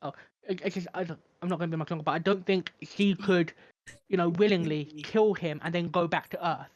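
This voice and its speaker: A man's voice: a little high, steady tone, brisk, lo-fi sound. A man co-hosting a film podcast, chatting earnestly and hesitantly with fillers. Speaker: podcast co-host. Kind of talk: casual film review chat.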